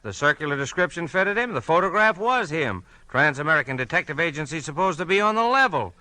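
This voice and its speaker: A folksy, unbothered deputy in a vintage radio drama. The voice is lively and swooping, bright in tone, with homespun drawn-out exclamations. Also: male voice, on the high side, dry recording. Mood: folksy, amiable. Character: folksy, easygoing small-town deputy, amiable and unbothered. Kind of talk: 1940s radio crime drama acting.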